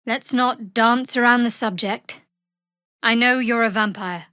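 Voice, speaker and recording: Guarded young dark priestess from a video game, sardonic and measured, highish voice, dry studio sound. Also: female voice, no room sound. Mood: guarded, serious. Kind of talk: video game character dialogue.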